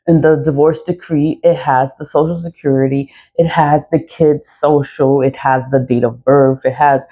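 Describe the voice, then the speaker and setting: Raspy-voiced woman ranting. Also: low-pitched, mellow. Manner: blunt, profane, ranting, trailing off and repeating. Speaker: vlogger. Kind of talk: early-morning venting vlog. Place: dark room before dawn.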